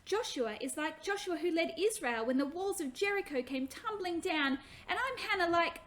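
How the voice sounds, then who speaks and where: A woman voicing an excitable, devout little girl in a children's story, eager and full of questions. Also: extremely high pitch, normal speed, pitched above the performer's own voice. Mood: excited.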